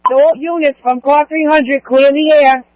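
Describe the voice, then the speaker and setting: High-pitched male police dispatcher on radio. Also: steady tone, normal speed, no echo. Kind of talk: emergency radio dispatch, relaying and repeating orders. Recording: muffled, lo-fi police scanner radio.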